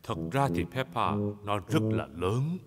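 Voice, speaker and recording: A man's voice: fairly low pitch, animated, unhurried. A man voicing a jolly, confident father pig in a cartoon dub, playful and self-assured, over music. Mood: cheerful explaining. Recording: music behind the voice.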